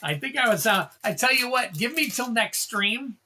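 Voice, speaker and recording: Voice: medium pitch, expressive, moderate pace, pushed and bright, slight background noise. A livestream host opening card packs, chatting casually, calling out card names and thanking viewers in a rambling way.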